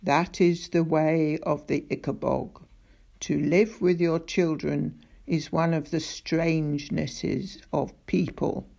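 Woman doing a huge old storybook monster, slow deep voice, gravelly. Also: steady tone, dark tone, full character voice. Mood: solemn.

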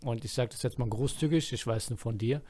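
A man's voice: medium pitch, brisk, darkish tone, slight background noise. A man hosting a podcast who chats casually, reads out listener messages and teases his co-host with dry humor.

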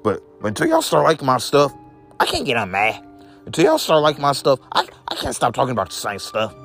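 A young man with a deep voice, talking in a rambling, repetitive vlog rant, whose voice jumps high when he gets upset. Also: wildly swooping pitch, fairly quick, vocal fry, a bit bright, faint music behind. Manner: repeats each phrase, voice leaps high when upset. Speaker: vlogger.

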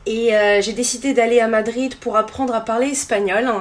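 A woman in her thirties from France answers questions as a podcast guest. Her voice sits a little high, with a French accent and a conversational delivery. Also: fairly even intonation, fairly quick. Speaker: podcast guest, tour guide.

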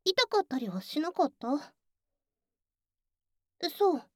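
A high, girlish voice for a prickly young tsundere acted by a woman. She is brusque and teasing, easily flustered yet caring underneath, recorded dry for an ASMR drama. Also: big pitch swings, takes it slowly, no room sound, exaggerated voice. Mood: defensive. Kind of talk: ASMR situation voice drama acting.

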